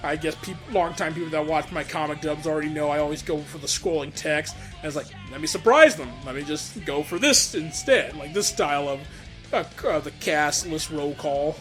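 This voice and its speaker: A man giving casual, excited director's commentary, stammering and repeating words as he goes. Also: fairly high pitch, normal speed, soft background music. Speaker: comic dub director giving audio commentary.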